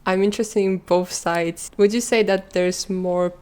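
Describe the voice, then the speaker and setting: Woman host asking even-toned questions. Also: medium pitch, measured pace, smooth. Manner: polite, orderly questioning. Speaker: podcast host. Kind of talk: interview questions.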